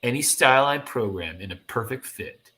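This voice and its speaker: A man voicing an excited, earnest teenage girl superhero from a comic book, full of eager wonder. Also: mid-range voice, moderate pace.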